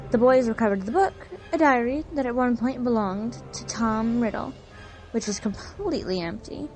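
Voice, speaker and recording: A woman's voice: a little high, darkish tone, rough recording. A woman narrating a scripted recap of an audio drama story. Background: faint music.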